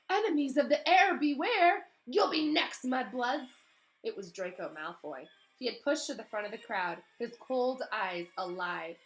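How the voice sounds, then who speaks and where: A woman with a medium-pitched voice reads a storybook aloud as a teacher would. Her delivery is expressive, with casual explanatory asides. Music can be heard in the recording. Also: low-quality recording. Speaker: teacher reading aloud to pupils.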